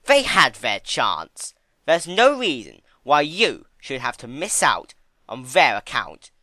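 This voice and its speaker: A man's voice: medium pitch, very animated, slow, pushed and bright, dry recording, clean studio sound, voice pushed up, exaggerated voice. A man voicing a grand, theatrical male chaos spirit, menacing and possessive, with emphatic delivery in a dramatic story reading. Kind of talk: dramatic fan-fiction reading.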